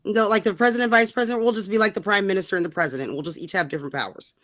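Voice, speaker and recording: A woman's voice: medium pitch, very fast, fairly bright tone, dry recording, clean studio sound. An American woman co-hosting a casual political chat, blunt, slangy and free with swearing. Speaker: podcast co-host.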